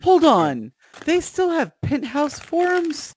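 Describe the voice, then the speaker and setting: A man's voice: very high-pitched, wildly swooping pitch, slow, darkish tone. A man co-hosting a podcast, throwing wry interjections into casual comic banter. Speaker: podcast co-host.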